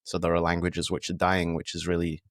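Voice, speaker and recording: A British man with a very deep, low voice talks through his ideas. He speaks reflectively, with an easy manner and occasional fillers. The sound is dry and close to the mic. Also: monotone, brisk, no echo. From United Kingdom. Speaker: podcast guest. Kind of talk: casual conversation.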